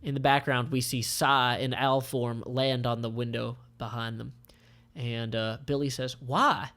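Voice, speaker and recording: A man reads a comic aloud as its narrator. His voice sits at a middle pitch with a lot of vocal fry. He sounds informal and conversational, describing things in an offhand way. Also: flat delivery, a little slow, a bit bright.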